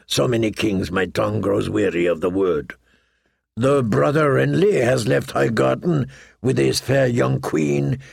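A man's voice: mid-range voice, gravelly, slightly rough, dry recording, clean studio sound, full character voice. A man voicing a boastful, lewd, wheedling pirate captain in an audiobook, with a theatrical, jovial manner and questioning tags. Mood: sly, joking. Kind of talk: audiobook character voice.

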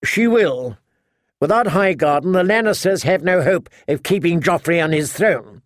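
Man putting on a high-pitched, imperious old noblewoman. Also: animated, no room sound, clean studio sound, lightly acted. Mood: shrewd, certain. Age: older. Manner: brisk, commanding, scolding. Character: sharp-tongued, imperious elderly noblewoman, family matriarch.

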